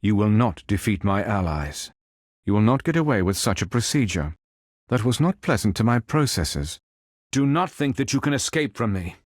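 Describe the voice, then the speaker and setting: A male actor voicing a calm, stately android superhero in a video game, speaking in formal, measured, precise phrases. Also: fairly low pitch, dry recording, clean studio sound. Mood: stern, defiant. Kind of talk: scripted game voice lines.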